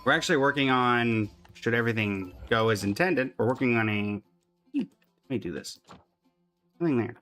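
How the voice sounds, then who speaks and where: A man's voice: mid-range voice, normal speed, a bit bright. Casual man streaming over music. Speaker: game livestreamer. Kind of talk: casual chatty game stream commentary.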